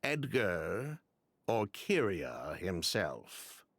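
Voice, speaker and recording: An actor playing a slick, evasive swindler in a video game, theatrical and smooth-talking in his self-justification. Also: low-pitched, wildly swooping pitch, drawn-out words, fairly bright tone, dry recording, studio quality, heavily acted. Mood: evasive, dismissive. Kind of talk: English video game voice acting.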